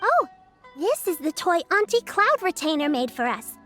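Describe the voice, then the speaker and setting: A small, responsible girl from a video game who looks after others. Her voice is high and sweet, with a gentle, patient delivery. Light music plays behind her. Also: sing-song, normal speed, heavily acted. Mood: sweet explaining. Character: caring, responsible little girl, gently looking after friend. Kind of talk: video game character acting.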